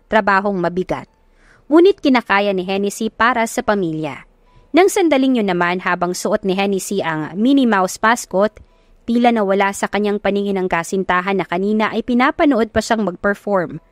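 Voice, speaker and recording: A woman with a Filipino accent narrates a romantic audiobook. Her delivery is scripted and dramatic, at a middle pitch, and the sound is very dry with no room around it. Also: dry recording.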